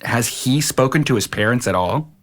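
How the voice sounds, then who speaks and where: A man's voice: medium pitch, lively intonation, moderate pace, natural voice. Man acting as a skeptical middle-aged father. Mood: doubtful. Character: skeptical, stern but reasonable middle-aged husband and father. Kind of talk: audio drama dialogue.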